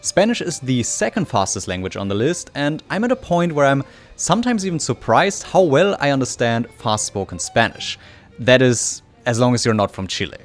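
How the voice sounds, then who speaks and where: A man's voice: medium pitch, very animated, moderate pace. A man from Germany, a native German speaker, narrating a scripted video essay as its presenter. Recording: music behind the voice. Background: soft background music.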